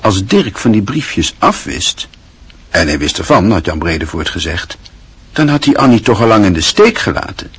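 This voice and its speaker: A man narrating a radio play in a measured, literary storytelling manner. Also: lowish voice, big pitch swings, husky.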